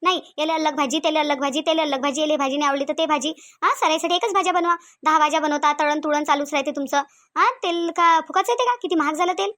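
A woman's voice: high voice, quick pace, fairly bright tone, no room sound. A woman voicing a domineering, miserly rural mother-in-law in a cartoon comedy, nagging and sarcastic in a Varhadi Marathi accent. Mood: scolding. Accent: Varhadi Marathi from Vidarbha. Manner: nagging, sarcastic, full of rhetorical questions.

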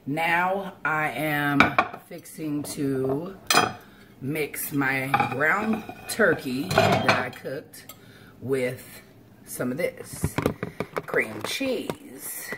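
A woman explains each step informally, as in a casual cooking vlog. She is recorded at home in a kitchen, with clear background noise of frying and clattering dishes. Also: deep voice. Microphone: camera on counter or at arm's length.

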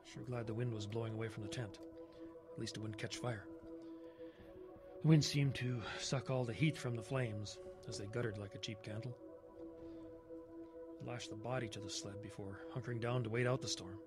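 An actor playing a weathered man solemnly recounting a grim survival tale in halting, folksy, clipped sentences for a radio horror drama. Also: lowish voice, fairly even intonation, over loud music, natural voice. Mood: ominous. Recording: roomy, clear background noise and ambience.

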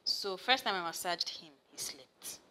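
A young woman with a Nigerian accent, talking in an interview on a studio set. Her voice sits low but sounds bright and forward. She tells her stories with animated bursts, and there is a faint hiss in the background. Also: measured pace. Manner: animated storyteller, rises loud and high when excited. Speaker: massage therapist, guest. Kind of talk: casual interview chat. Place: studio set with sofa, indoors.

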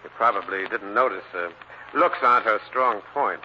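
An actor playing a defensive, irritable theatrical business manager in an old-time radio drama. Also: male voice, on the low side, normal speed, natural voice. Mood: wary, explaining. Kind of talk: 1940s radio drama acting. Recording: muffled, noisy old radio recording.

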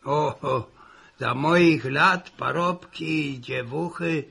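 A man's voice: fairly high pitch, takes it slowly, pushed and bright, full character voice. An actor playing a chatty, wry old country grandfather in a vintage radio serial. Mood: reminiscing. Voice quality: gravelly, husky. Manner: repetitive, interjecting, folksy. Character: chatty old peasant grandfather, wry, reminiscing, gently grumbling. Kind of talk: 1960s radio serial acting. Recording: vintage 1960 radio recording.

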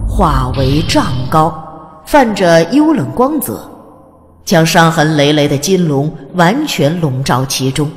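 A woman reading scripted narration of a fantasy audiobook in a dramatic storytelling style. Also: deep voice. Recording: long hall reverb.

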